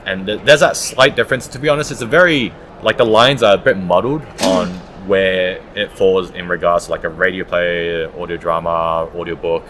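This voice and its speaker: A man's voice: low voice, fairly even intonation, moderate pace, few pauses, fairly bright tone, slight background noise. A man giving conversational, explanatory answers as an audiobook producer being interviewed.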